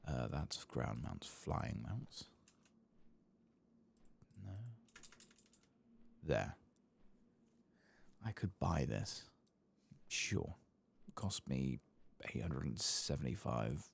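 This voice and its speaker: A soft-spoken man doing whispered ASMR game commentary in a breathy, gravelly voice, musing in an unhurried, hesitant way. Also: a bass voice. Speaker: gaming ASMR host.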